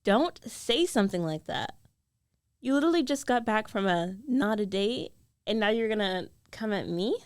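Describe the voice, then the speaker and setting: A woman playing a jealous, lovestruck young female roommate in an intimate ASMR roleplay, guarded yet tender, speaking with hesitant, emotional pauses. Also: medium pitch, no echo, studio quality, close to an everyday voice. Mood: hurt, defensive.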